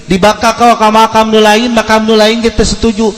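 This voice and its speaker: A man with a Sundanese accent preaching as a storyteller, humorous and theatrical, acting out dialogue and swinging between hushed and loud delivery. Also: high-pitched, monotone, fairly quick. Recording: echoey hall with long reverb.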